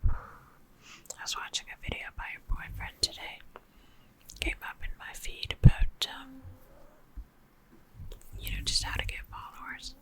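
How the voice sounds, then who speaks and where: A woman's voice: measured pace, long pauses, low-quality recording. A woman rambling in a chatty, close-mic whisper, talking to a friend in an ASMR video. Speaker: ASMR creator.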